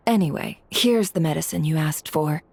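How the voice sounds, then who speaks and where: Cool mysterious dealer, slightly low pitch, husky, dry, detached, sardonic, video game. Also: female voice, moderate pace, darkish tone, no echo, exaggerated voice. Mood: nonchalant. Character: cool, aloof, sardonic woman information dealer.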